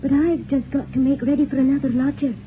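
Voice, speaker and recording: High-pitched housemaid played by a woman, 1950s radio play, excitable and gossipy, very lively intonation, noisy vintage sound. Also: quick pace, narrow, tinny audio, close to an everyday voice. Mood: chatty, wistful. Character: chatty, emotional working-class housemaid, landlady's daughter. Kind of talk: 1950s radio drama acting.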